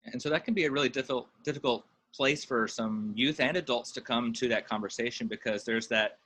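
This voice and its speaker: A man's voice: mid-range voice, a little fast, pushed and bright, husky. A man hosting a conversation, asking probing questions and rephrasing them as he goes.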